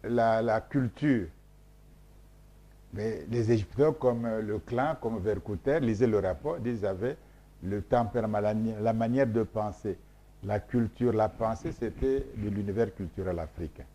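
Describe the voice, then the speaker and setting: Emphatic, lecturing Congolese man, mellow voice. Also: fairly low pitch, a little slow. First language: Mboshi. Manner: emphatic, repetitive, lecturing, many hesitations. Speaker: scholar being interviewed. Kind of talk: explanatory interview talk.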